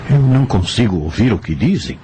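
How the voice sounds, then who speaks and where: A man's voice: lowish voice, sing-song, a little fast, warm tone. A man plays a curious seeker in a radio drama. His mid-range voice swings widely as he questions. A faint noise lies behind him. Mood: puzzled. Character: curious, puzzled man questioning a spirit guide.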